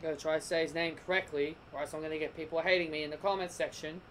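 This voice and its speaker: A man giving a casual, rambling wrestling review, often restarting his sentences, his voice croaking. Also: a little high, fairly even intonation, rapid-fire, fairly bright tone, a little hiss or hum. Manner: rambling, restarts and corrects himself.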